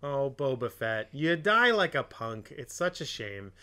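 A young man from Ohio, a podcast co-host going hoarse, rambling through crude jokes and fillers while commenting on a film. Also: medium pitch, very animated, measured pace, bright, forceful tone. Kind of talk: comedic film commentary chat.

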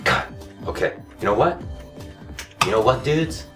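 A hoarse man in his thirties gives brash, self-hyping game commentary, his pitch leaping wildly from grumbling lows to yelling highs. He sounds a little away from the mic in a room at home. Loud game music plays behind him. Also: lively intonation, measured pace, recorded from a distance. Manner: cocky, self-hyping, swings from mutters to shouting outbursts. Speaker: comedy gaming host. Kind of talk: over-the-top comedic game commentary.

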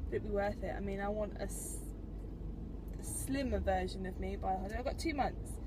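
A woman's voice: medium pitch, a little fast, noisy background. A young British woman vlogging casually from inside her car, rambling conversationally to a dashboard camera. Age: young adult. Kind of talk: casual chat to camera while driving. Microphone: camera mounted on the dashboard.

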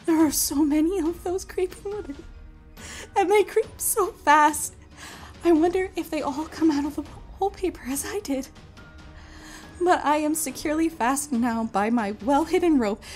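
An actress as an unhinged, high-voiced confined woman. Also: natural voice. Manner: theatrical, confiding. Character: unhinged woman confined to a room, obsessive, secretive, childlike delusion. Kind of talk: dramatic first-person monologue in an audio drama.

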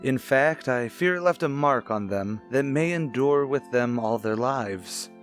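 An actor playing a stern, brooding widower in an old-time radio drama, speaking formally and deliberately. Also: male voice, medium pitch, lively intonation, faint music behind, natural voice. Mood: grave, sorrowful. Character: stern, brooding widowed father with a morbid past.

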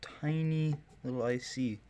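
A man's voice: medium pitch, a little slow, dark tone. A man giving casual repair commentary as a hobbyist, rambling and self-deprecating as he thinks aloud. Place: indoor workshop bench.

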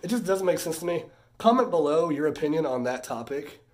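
A husky-voiced man in his thirties talks in an easy, chatty way, sounding stuffed up from a sinus infection. He is recorded indoors on a phone camera held close, easily impressed and drifting into a rant now and then. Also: fairly high pitch, animated, brisk, darkish tone. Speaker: vlogger showing a haul. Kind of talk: casual haul vlog chat.

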